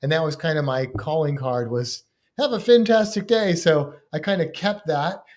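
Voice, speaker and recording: A man's voice: fairly high pitch, expressive, moderate pace. A man guesting on a livestream podcast over a video call, talking casually and hesitantly, with many restarts.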